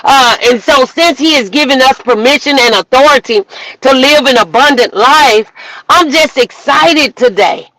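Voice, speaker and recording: Woman, minister, motivational preaching, bright forceful tone, dry close sound. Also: high voice, moderate pace, dry recording, rough recording. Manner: emphatic, preacherly, rising to shouts. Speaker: minister and host. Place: outdoors walking in the rain.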